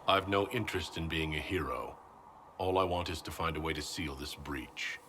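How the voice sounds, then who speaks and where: Blunt serious reluctant hero in a game, deep voice, noise behind him. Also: male voice, moderate pace. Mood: resolute. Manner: plain-spoken, serious. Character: guarded, blunt male adventurer, reluctant hero. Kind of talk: video game character acting.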